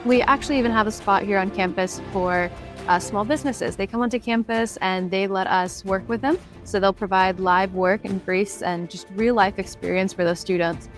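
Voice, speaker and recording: A woman's voice: medium pitch, normal speed. A woman student from the United States giving a casual promotional testimonial. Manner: casual, conversational. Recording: music bed behind voice.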